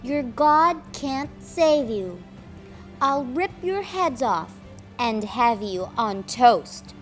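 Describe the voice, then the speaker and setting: A woman's voice: on the high side, sing-song, drawn-out words, faint music behind, full character voice. Woman putting on a bellowing, taunting giant soldier. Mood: scornful, threatening. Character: huge, terrifying, bullying giant soldier, male. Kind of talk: children's storybook read-aloud.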